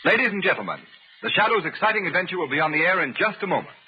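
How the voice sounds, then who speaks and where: A man's voice: high voice, very animated, racing, noisy background. A man announcing in scripted 1930s radio style, emphatic and declamatory. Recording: muffled 1930s radio recording, faint music.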